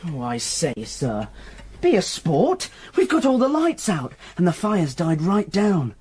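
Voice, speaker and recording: An actor playing a jolly, polite upper-class young English stepson in a radio drama, with a husky, teasing, eager delivery. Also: male voice, sits high, wildly swooping pitch, measured pace, darkish tone, full character voice. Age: young adult.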